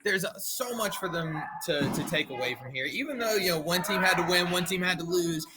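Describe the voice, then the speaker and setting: A man's voice: high-pitched, fairly quick, noisy background. A man, a martial arts instructor, commentating and encouraging his students in a proud, teacherly way. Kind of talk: casual sports commentary.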